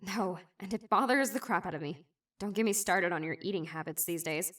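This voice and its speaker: A woman's voice: on the low side, brisk, fairly bright tone, distant mic, natural voice. A woman voicing a brash young woman who is sarcastic, blunt and teasing. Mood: scolding. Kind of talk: fan animation voice acting.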